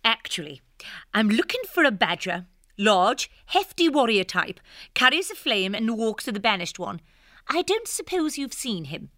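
A jolly posh hare. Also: female voice, on the high side, sing-song, sharp tone, no echo, clean studio sound. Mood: polite. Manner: brisk, jolly posh slang. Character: plucky, jolly female hare playing mystic.

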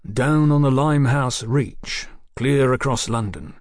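A man's voice: mid-range voice, measured pace, dry recording, natural voice. A man voicing a glum, cautious police sergeant in an audiobook, terse and dry in his speech. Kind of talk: audiobook character acting.

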